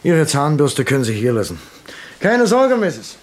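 Lively, higher-voiced henchman. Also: moderate pace. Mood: falsely reassuring. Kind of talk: German crime radio drama acting.

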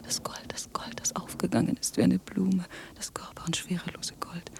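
Ecstatic, trembling lover for radio drama monologue; breathy whisper with creak, incantatory and intense. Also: female voice, lowish voice, flat delivery, moderate pace, a little mellow. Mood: ecstatic rapture. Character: feverish, love-struck woman in trembling ecstatic rapture.

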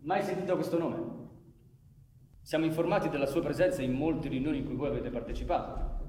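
A man's voice: fairly high pitch, fairly quick, darkish tone, a little hiss or hum, close to an everyday voice. A man playing an earnest, courteous police commissioner in radio theatre, speaking formally, articulately and persuasively. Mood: pointed, accusing. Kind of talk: Italian radio theatre acting. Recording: echoey hall with long reverb.

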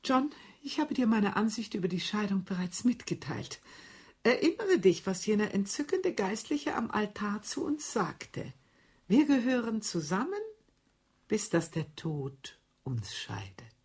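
A breathy, sweetly deadly wife. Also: female voice, mid-range voice, very animated, measured pace, a little mellow. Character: sweetly scheming newlywed wife, poisoner. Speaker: actor. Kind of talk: radio drama acting.

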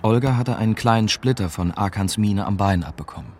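A man's voice: lowish voice, brisk, a little hiss or hum, lightly acted. An actor playing a young German journalist, weary and shaken, who narrates his war memories reflectively in a radio drama. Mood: grave, shaken. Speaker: narrator and lead character.

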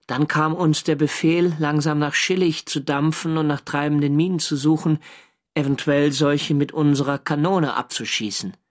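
An actor playing a wartime sailor narrating his diary in a measured, dryly wry way for a radio drama. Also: a little high, fairly even intonation, close to an everyday voice. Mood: sober, reporting.

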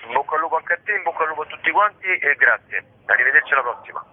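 A man as a radio guest on the phone, reading out contact details and signing off warmly. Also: fairly high pitch, lively intonation, rapid-fire. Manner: friendly sign-off, reads out contacts. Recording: tinny phone line with faint noise.

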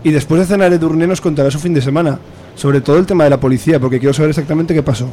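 A middle-aged father in a radio play, acted by a man, brisk and authoritative. He talks extremely fast in a mellow, slightly high voice. Faint ambience sits behind him. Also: a little hiss or hum, natural voice. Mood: stern. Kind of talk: amateur radio drama acting.

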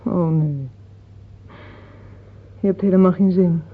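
A woman's voice: lowish voice, slow pace, breathy edge. An actress in a Dutch radio play, playing an earthy, plain, motherly middle-aged mistress who speaks frankly, tenderly and teasingly, without hurry. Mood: reproving, weary. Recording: muffled tinny old radio play recording.

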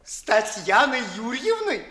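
An actor playing an obsequious, cautious young clerk in a 1950s classical radio play, mincing and deferential. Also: male voice, very high-pitched, big pitch swings, measured pace, pushed and bright, exaggerated voice. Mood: polite condescension. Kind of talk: 1950s classical radio theatre acting in verse.

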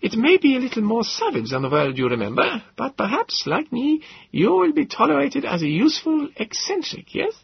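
A man voicing a thin, fragile, eccentric male professor in his thirties with a light German accent, excitable and rambling. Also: extremely high pitch, moderate pace, gravelly, husky, no room sound, voice pushed up, exaggerated voice. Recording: dull lo-fi recording.

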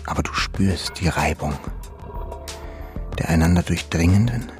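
Low-voiced, terse mysterious man. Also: unhurried, faint music behind. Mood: solemn, eerie. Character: mysterious man dwelling in a dark factory, terse, uncanny. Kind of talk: German radio crime drama acting and narration.

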